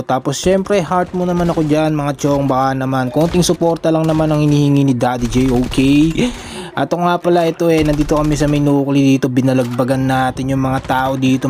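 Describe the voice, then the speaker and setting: A man's voice: on the high side, darkish tone, a little hiss or hum. A young man from the Philippines, casually rambling through a gameplay voice-over and calling his viewers buddies. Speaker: mobile gaming content creator.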